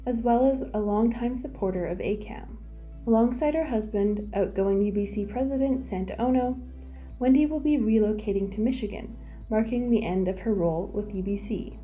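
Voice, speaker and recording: A woman co-hosting a podcast, reading a scripted introduction of the guest in an even way. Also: medium pitch. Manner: reads the guest introduction evenly. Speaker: guest co-host.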